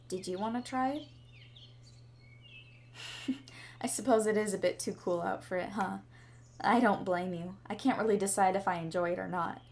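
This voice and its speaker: A woman's voice: mid-range voice, a little mellow, lightly acted. Woman doing a sweet shy girl crushing on you, rambly and giggly asmr roleplay, outdoor bird noise in the back. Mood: curious, mildly disappointed. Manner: bashful, hesitant, chatty, giggly. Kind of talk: ASMR roleplay, scripted to the listener. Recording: clearly audible background ambience noise.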